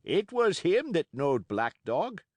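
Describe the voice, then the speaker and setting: A man voicing a hot-tempered old sailor with rough, loud outbursts. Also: fairly high pitch, big pitch swings, slow, no echo, pitched above the performer's own voice, full character voice. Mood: angry.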